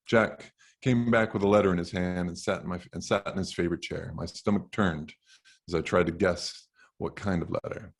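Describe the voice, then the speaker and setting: Low-voiced man reading literary fiction. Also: steady tone, normal speed, vocal fry. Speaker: author reading from own novel. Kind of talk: literary audiobook-style reading.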